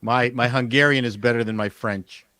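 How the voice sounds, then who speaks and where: A man's voice: mid-range voice, steady tone, moderate pace, pushed and bright, no room sound. A man chatting on a casual panel in an opinionated, emphatic way.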